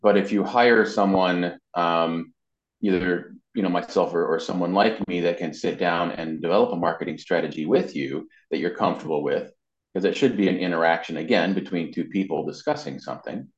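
A man's voice: low-pitched, fairly quick. A man speaking conversationally as an interview guest, explaining social media marketing practice. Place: indoors. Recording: muffled, band-limited, roomy echo.

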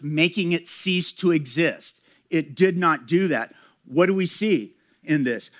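A man's voice: sits high, fairly bright tone. A man preaching a sermon to his congregation, earnest and emphatic, speaking straight to his listeners. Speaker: pastor.

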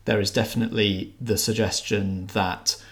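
A man's voice: lowish voice, level tone, normal speed. A man co-hosting a podcast, giving a casual film review in a hesitant way, circling and correcting his phrasing. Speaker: podcast co-host reviewing films.

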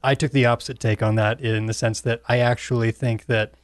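A man's voice: medium pitch, steady tone, brisk, no room sound, studio quality. A man co-hosting a casual podcast discussion, giving his opinions in a wry way. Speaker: podcast co-host.

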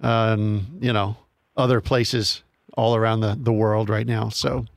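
A man's voice: on the low side, level tone, measured pace, creaky, no echo. Man, easygoing radio chat, dry studio sound. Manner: easygoing, self-deprecating. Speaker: radio station staff, co-host.

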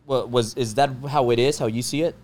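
Quick, halting male interviewer. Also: medium pitch, steady tone, darkish tone. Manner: halting, repeats words while he forms his questions. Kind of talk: interview questions.

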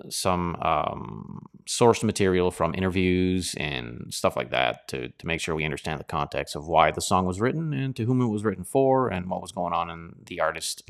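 A man with a very low, smooth and resonant voice talks in a loose, reflective monologue. He reminisces with plenty of ums and ahs. He is middle-aged and hosts his own show. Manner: rambling, nostalgic, with many fillers. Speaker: host.

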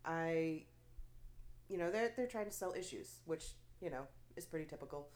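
A woman's voice: low voice, animated, moderate pace, darkish tone. A woman co-hosting a casual podcast, sounding stuffy from allergies, chatty with frequent fillers and little laughs. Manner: chatty, many fillers and restarts, laughs often. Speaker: podcast co-host.

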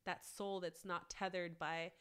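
A woman guest on a podcast, talking casually with lots of "like" fillers, her voice raspy from a cold. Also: on the low side, level tone, unhurried, fairly bright tone. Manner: chatty, many "like" fillers. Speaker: guest, plant-based cookbook author.

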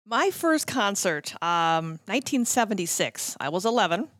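A woman's voice: medium pitch, sing-song, normal speed, a bit bright, dry recording, studio quality. A woman in her fifties from Minnesota, a podcast guest telling nostalgic stories casually, with enthusiasm and emphasis. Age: 50s.

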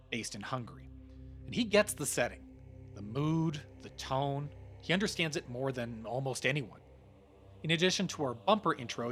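A man's voice: mid-range voice, a bit bright. A man narrating a scripted retrospective podcast as its host and producer. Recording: music playing behind the voice. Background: background music.

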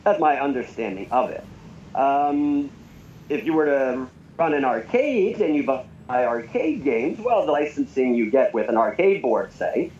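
A man being interviewed about his bar, explaining in a measured, hedging way. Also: mid-range voice, darkish tone. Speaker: bar owner and guest. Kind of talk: interview answers. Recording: muffled remote line with faint noise.

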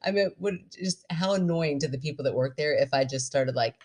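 A woman's voice: low-pitched, fairly quick. Bubbly, laughing woman podcast co-host. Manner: bubbly, animated storyteller, laughs a lot. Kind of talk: casual chat.

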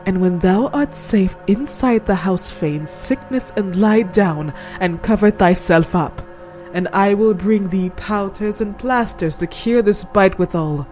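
A woman's voice: fairly low pitch, a little slow, a little mellow, faint music behind, lightly acted. A woman playing a sly, motherly old go-between who coaxes and persuades in archaic audio drama dialogue. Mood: consoling, urging. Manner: coaxing, persuasive.

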